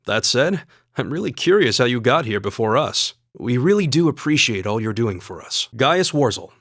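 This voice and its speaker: A man voicing a calm, courteous and earnest young male student fighter in a video game, speaking in a measured, polite way. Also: medium pitch, dry recording, clean studio sound. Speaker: video game character voice actor.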